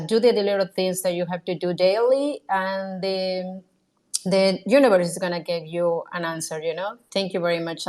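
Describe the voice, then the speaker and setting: A woman with a slightly low voice speaks as a guest from the community. She gives informal advice to listeners, sounding earnest and encouraging. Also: darkish tone.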